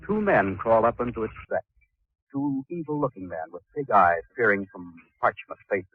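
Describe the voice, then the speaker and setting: Old radio narrator guy, deep-ish and raspy, ominous, tinny sound. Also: expressive, dry recording. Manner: ominous, theatrical, deliberate. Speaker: drama narrator. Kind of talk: 1940s dramatic scripted narration. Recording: tinny 1940s radio recording.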